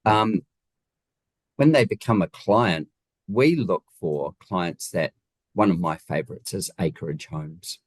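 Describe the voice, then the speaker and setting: A man with a low-pitched voice and a New Zealand accent speaks as an interview guest, recorded dry and close. He explains things conversationally and leans on key points with emphasis and rhetorical questions. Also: slow, no echo. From Wellington region, New Zealand. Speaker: guest, home designer.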